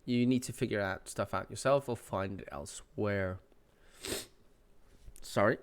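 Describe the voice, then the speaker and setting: Guy on his gaming channel, stuffy nose from a cold, smooth voice, lots of ums. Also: lowish voice, measured pace. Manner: rambling, with many fillers and restarts. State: nasal from a cold. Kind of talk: casual channel update.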